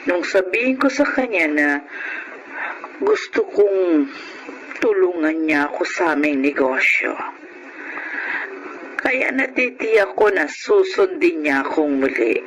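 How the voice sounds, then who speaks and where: A woman's voice: lowish voice, very animated, slow, long pauses, a little hiss or hum, rough recording. A middle-aged woman playing a devoted, self-assured widowed mother in a radio drama, speaking earnestly. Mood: confident, insistent. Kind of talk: radio drama acting and first-person narration. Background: music under the voice.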